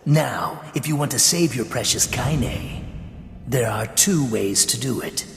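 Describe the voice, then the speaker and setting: An actor playing a sardonic male demon shade in a video game, mocking and deliberate yet oddly sympathetic, his voice processed to sound otherworldly. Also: medium pitch, expressive, gravelly. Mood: taunting. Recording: long echoing reverb, music behind.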